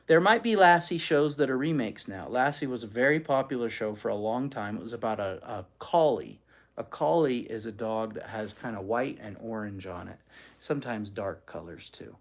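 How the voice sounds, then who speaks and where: Animated, folksy storybook reader. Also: mid-range voice, normal speed. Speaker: host reading children's books aloud. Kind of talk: storybook read-aloud with chatty asides.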